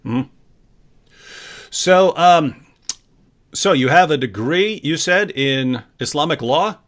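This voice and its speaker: A man with a fairly high-pitched voice hosts a livestream interview. His delivery is casual and conversational, with a playful, jokey touch of showmanship. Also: lively intonation, slow pace, fairly bright tone. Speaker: host.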